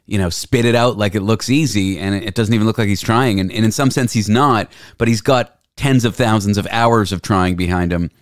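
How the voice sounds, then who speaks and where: A man's voice: lowish voice, fairly quick, few pauses, clear, resonant tone, no echo, clean studio sound. A man hosting a podcast, chatting loosely and telling stories with the odd casual swear. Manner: relaxed storyteller, swears casually. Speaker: podcast host. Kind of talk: casual conversation.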